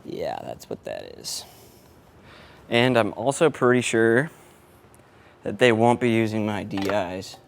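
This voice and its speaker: A man's voice: fairly low pitch, big pitch swings, takes it slowly, long pauses. A man talking casually and offhand as a sound engineer setting up gear, often muttering to himself. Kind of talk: casual vlog talk while setting up gear. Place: indoors in a print shop. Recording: clear background noise, room ambience.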